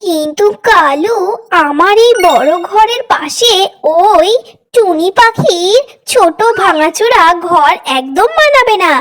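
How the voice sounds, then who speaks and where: A woman's voice: lively intonation, slow pace, fairly bright tone, heavily acted. A woman voicing a greedy, boastful female crow who bullies the others in a cartoon. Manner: bragging, threatening, shrill. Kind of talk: cartoon voice acting.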